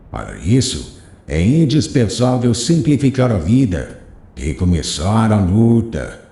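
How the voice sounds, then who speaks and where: A man voicing a wise, gentle old rabbi teacher who consoles his pupil in a slow, solemn preaching cadence. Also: lowish voice, voice pushed down. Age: older. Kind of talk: audiobook character reading. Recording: roomy echo.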